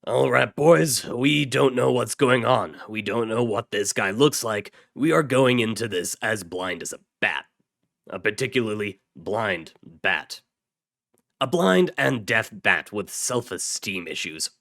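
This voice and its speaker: A man's voice: on the low side, animated, unhurried, creaky, a bit bright, no echo, studio quality, heavily acted. A man voicing a wry, commanding task-force leader briefing his team in an audio story.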